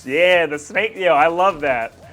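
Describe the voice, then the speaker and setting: A man's voice: on the high side, unhurried, a bit bright. A man hosting a reaction video, commenting on fight scenes in casual slang with hyped exclamations. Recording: music behind the voice.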